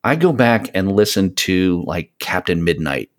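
Male podcast guest, low-pitched voice, casual and rambling, feeling around for the right words. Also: sing-song, a little slow. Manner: rambling, hesitant searching for words. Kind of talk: casual podcast chat, recommending audio dramas.

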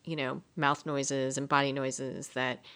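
A woman's voice: a bass voice, flat delivery, measured pace, a bit bright. A woman co-hosting a podcast, chatting casually in a reflective, interested way. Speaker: podcast co-host. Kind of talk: casual conversational podcast talk.

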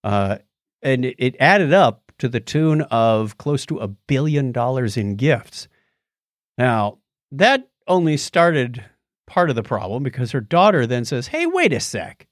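A man with a mid-pitched voice talks casually as a podcast co-host. He retells a story conversationally, stepping aside for little comments. The sound is close and dry, with no room on it. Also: sing-song, a little slow, dry recording. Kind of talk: casual chat, recounting a documentary.

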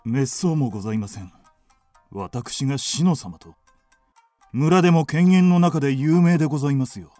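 A humble household retainer, acted by a man in a period radio drama, guarded and obedient. His voice is dark and mellow yet moves widely in pitch as he gives formal replies, in a dry studio sound. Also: expressive, moderate pace, dry recording, soft background music, lightly acted. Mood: deferential, guarded. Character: deferential manservant, guarded, secretly loyal young retainer.

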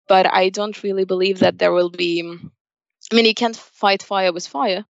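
A woman's voice: lowish voice, dry recording, dull audio. A young woman from Ukraine, a native Russian speaker with a Ukrainian accent, giving earnest, emphatic answers as an interview guest. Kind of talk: spoken interview answers, personal opinion.

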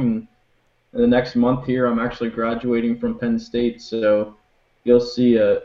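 A young man in his twenties co-hosting a podcast, talking slowly and hesitantly with lots of fillers. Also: mid-range voice, echoey room, highs cut off. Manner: hesitant, filler-heavy, unhurried. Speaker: co-host. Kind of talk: casual podcast chat.

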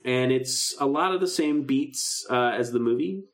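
A man's voice: medium pitch, normal speed. A man co-hosting a podcast, recapping a story in casual, enthusiastic fan talk. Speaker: podcast co-host. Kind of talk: casual chat, recapping a radio drama.

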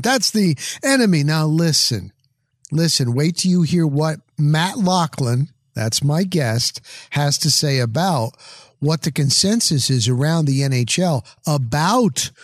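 High-pitched, effusive male sports talk host. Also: dry recording, clean studio sound. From New Jersey, USA. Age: middle-aged. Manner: effusive, emphatic, repeats phrases for emphasis. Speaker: interview show host. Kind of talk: enthusiastic sports talk and interviewing.

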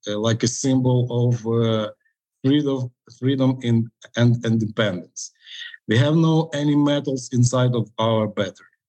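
A man with a Ukrainian accent speaks at a slow, measured pace, searching for words between long pauses and fillers. His pitch sits in a middle range for a man, and he answers like a founder talking up his product. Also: darkish tone. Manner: halting, many pauses and fillers. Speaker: company founder, guest. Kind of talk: interview answers.

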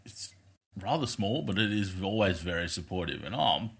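A man with a thick Australian accent, hosting a podcast in a relaxed, chatty way. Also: deep voice, normal speed, creaky. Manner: laid-back, chatty, swears casually. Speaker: podcast host. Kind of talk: casual podcast interview.

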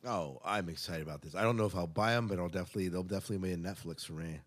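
A man's voice: deep voice, racing. A man hosting a podcast, chatting casually and making announcements in an enthusiastic manner. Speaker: podcast host.

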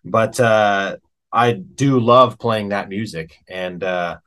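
A man's voice: on the low side, slow, a bit bright. Casual American man, candid interview guest. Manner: informal and candid, with frequent "man" and "you know". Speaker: interview guest, working keyboard musician. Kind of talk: casual, reflective interview talk.

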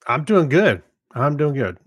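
A man's voice: a little high, big pitch swings, unhurried, dry recording, clean studio sound. A man co-hosting a podcast, chatting casually and telling things in a hesitant, rambling way. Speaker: podcast co-host. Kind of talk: casual chat.

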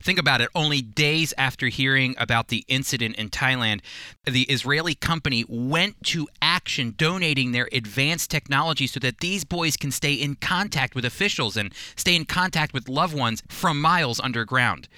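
A man hosts a radio program with a bright, forward tone that carries well. He talks earnestly, stumbling and restarting now and then. His voice is close and dry, with no room sound. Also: mid-range voice, normal speed, clean tone, no room sound. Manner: earnest, with frequent restarts and fillers. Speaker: radio show host and teacher. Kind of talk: radio interview and commentary.

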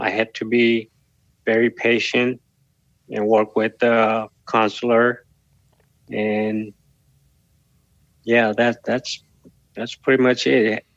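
Korean-native man, middle-aged, slow halting delivery, gravelly, dry dull lo-fi sound. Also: medium pitch, no echo. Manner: halting, slow, many pauses and fillers. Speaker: guest. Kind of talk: podcast interview.

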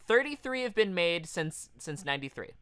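A man's voice: high voice, sing-song, moderate pace, pushed and bright, clear, resonant tone, no room sound. A man co-hosting a podcast in casual comedic chat, profane and riffing as he goes. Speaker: podcast co-host.

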